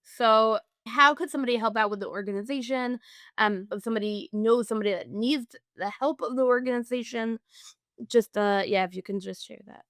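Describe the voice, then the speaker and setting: Smooth-voiced woman podcast host. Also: a little high, brisk, a bit bright, no room sound, clean studio sound. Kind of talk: podcast interview.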